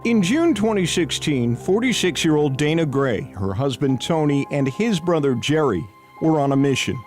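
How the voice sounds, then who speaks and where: Higher-pitched American male news reporter. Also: very animated, normal speed, darkish tone, soft background music. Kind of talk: scripted news narration.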